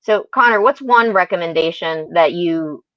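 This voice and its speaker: A woman's voice: fairly low pitch, normal speed, no room sound, muffled recording. A woman hosting a conversational podcast interview, affirming her guests with frequent murmurs of agreement. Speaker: podcast host.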